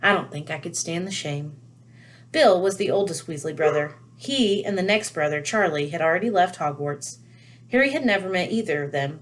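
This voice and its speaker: A woman narrating a children's novel aloud as a read-aloud storyteller. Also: lowish voice.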